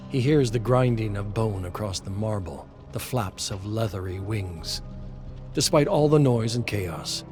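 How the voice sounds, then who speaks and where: Solemn, slow-paced male story narrator over music. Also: lowish voice. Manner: measured, solemn. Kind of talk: dramatic scripted story narration. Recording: music behind the voice.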